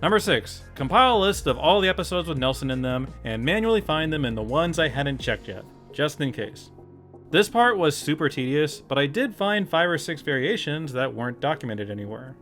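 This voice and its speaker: Animated, higher-pitched man hosting a video. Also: a little fast. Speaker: video host, YouTuber. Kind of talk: video essay narration, casual and jokey. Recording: music behind the voice. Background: background music.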